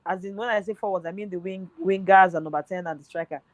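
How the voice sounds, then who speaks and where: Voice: medium pitch, fairly even intonation, fast, no room sound. A speaker talking football on a casual podcast, thinking aloud with lots of fillers, voice nearly worn out. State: voice tiring, nearly gone.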